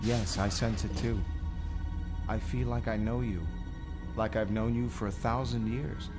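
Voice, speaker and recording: An actor in an audio drama playing an earnest, gentle but resolute young Jedi pilot. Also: male voice, fairly low pitch, steady tone, a little slow, over music, lightly acted. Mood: tender, subdued. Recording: loud orchestral score behind the voice.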